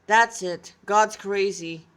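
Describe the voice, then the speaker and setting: A woman's voice: medium pitch, slow pace, fairly bright tone. A woman voicing a sarcastic, exasperated young male hero who grumbles informally in a storybook reading.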